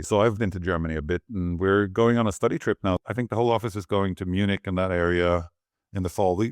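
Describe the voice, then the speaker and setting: A man's voice: low-pitched, a little mellow, dry recording, clean studio sound. A middle-aged man from Sweden, a guest architect rambling and thinking aloud as he talks. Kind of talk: relaxed conversational interview.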